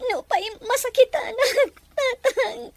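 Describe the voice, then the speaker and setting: A woman playing a gentle, poor young sweetheart in a melodramatic audio drama, plaintive and emotional. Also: very high voice, takes it slowly, vocal fry, no room sound, full character voice. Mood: tearful, resigned. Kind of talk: melodramatic radio-style drama acting.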